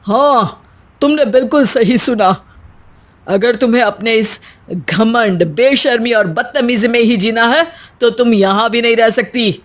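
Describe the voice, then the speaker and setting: A woman's voice: on the high side, measured pace. A woman voicing a firm, disappointed middle-aged father admonishing his daughter in a story. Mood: firm disappointment.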